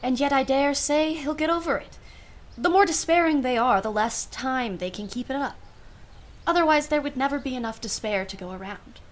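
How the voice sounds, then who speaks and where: A woman's voice: high voice, normal speed, natural voice. A woman playing a wry, world-weary aunt in a radio play, with a dry, arch manner and formal phrasing. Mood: dry, amused. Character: wry, world-weary aunt minding her young niece. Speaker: actress.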